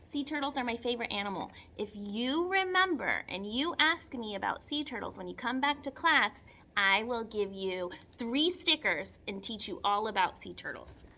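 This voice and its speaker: Animated high-voiced woman teacher reading aloud. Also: normal speed. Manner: expressive, simple child-directed explaining with repetition and questions. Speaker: children's teacher reading a picture book aloud. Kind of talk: read-aloud lesson with chatty explanatory asides.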